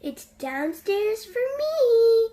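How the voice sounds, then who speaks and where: A woman's voice: extremely high pitch, big pitch swings, extremely slow, warm tone, voice pushed up, exaggerated voice. A woman voicing a friendly dog from a children's storybook, with exaggerated, childlike exclamations. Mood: excited yelling.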